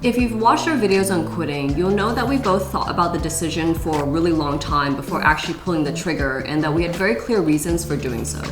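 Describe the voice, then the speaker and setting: A young woman from California, co-hosting a casual Q&A vlog in a clear, matter-of-fact way. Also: deep voice, fast, few pauses. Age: young adult. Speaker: vlog co-host, former lawyer. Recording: echoey room with background music.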